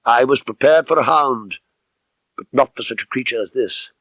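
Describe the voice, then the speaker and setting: A man voicing a keen, masterful male detective with a crisp, formal, authoritative manner in an audiobook story. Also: mid-range voice, moderate pace, a bit bright, dry recording, lo-fi sound, natural voice. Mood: grave, composed.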